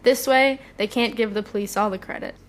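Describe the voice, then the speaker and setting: A woman's voice: fairly high pitch, fairly even intonation, a little fast, lightly acted. A woman playing an eager, nerdy superhero sidekick in an audio drama, polite, chatty and earnest.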